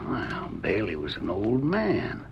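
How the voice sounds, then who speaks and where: Gravelly ruthless outlaw. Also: male voice, on the low side, huge pitch swings, slow, full character voice. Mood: menacing. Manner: gruff, menacing, folksy western drawl. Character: ruthless scheming male outlaw, jailed and gun-ready. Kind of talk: 1930s radio acting. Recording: muffled, noisy old radio recording.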